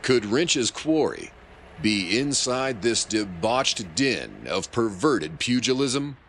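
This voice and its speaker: An actor playing a melodramatic announcer, narrating a pulp adventure serial with grandiose suspense. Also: male voice, fairly low pitch, very animated, takes it slowly, fairly bright tone, slight background noise, full character voice. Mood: suspenseful.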